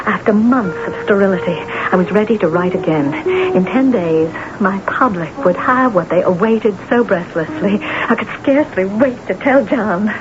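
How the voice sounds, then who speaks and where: An actress playing a self-absorbed, temperamental, envious novelist in a theatrical, gushing old-time radio drama style. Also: female voice, medium pitch, moderate pace, few pauses, close to an everyday voice. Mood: excited, dramatic. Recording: dull, noisy old radio broadcast.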